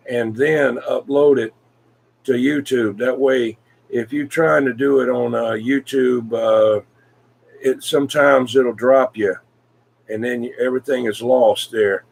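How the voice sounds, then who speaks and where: A man in casual CB radio chat, talking in a folksy, rambling, hesitant way with frequent pauses. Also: medium pitch, vocal fry. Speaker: CB radio hobbyist, video maker.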